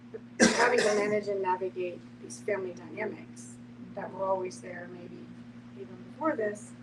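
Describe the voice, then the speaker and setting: A woman moderating a group discussion in a conversational, explanatory way. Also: medium pitch. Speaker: moderator, nonprofit co-founder. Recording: distant mic with clear background noise.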